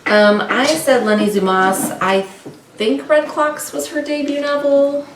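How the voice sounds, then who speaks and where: A woman's voice: high voice, steady tone, measured pace. A woman co-hosting a book vlog, chatting casually and informally in a rambling way, often correcting herself. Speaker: co-host of a book discussion vlog. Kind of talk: casual unscripted book chat. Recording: roomy, distant mic with faint noise.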